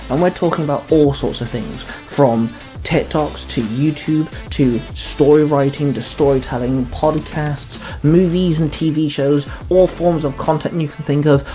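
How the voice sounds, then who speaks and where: A man's voice: medium pitch, a little mellow. A man speaking casually as a podcast host, making a promotional announcement. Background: music.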